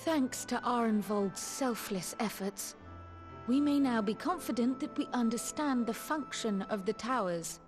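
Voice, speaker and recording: An earnest woman strategist from a fantasy game, voiced by an actress. She speaks in a somewhat high voice with formal, deliberate phrasing, over quiet music. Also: natural voice. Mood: grave, resolved. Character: earnest, determined female scholar and strategist. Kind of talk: formal video game cutscene acting.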